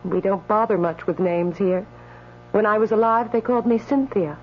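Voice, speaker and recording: An actress playing a sweet, coolly teasing spirit from the afterlife in a radio drama, matter-of-fact and coaxing. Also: female voice, medium pitch, normal speed, close to an everyday voice. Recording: dull radio broadcast, faint mains hum.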